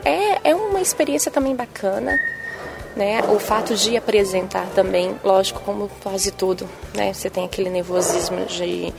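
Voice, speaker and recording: A young adult woman journalist with a soft Bahian accent, answering interview questions in a sing-song manner with frequent tag questions. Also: mid-range voice, moderate pace, creaky, heavy background noise. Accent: Bahian Brazilian Portuguese. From Bahia, Brazil. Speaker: TV journalist and presenter.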